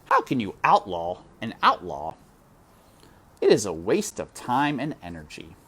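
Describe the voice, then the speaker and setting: A man reading a children's novel aloud, expressive and addressing the listener directly. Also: fairly low pitch, a little slow, husky. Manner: expressive, speaks to the reader directly. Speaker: reader. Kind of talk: children's book read-aloud.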